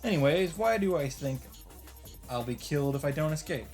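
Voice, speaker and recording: A man's voice: fairly high pitch, expressive, moderate pace, a little mellow. A man reading visual novel narration aloud as a let's player, dropping in casual, wry asides. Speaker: video game let's player. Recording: music behind the voice.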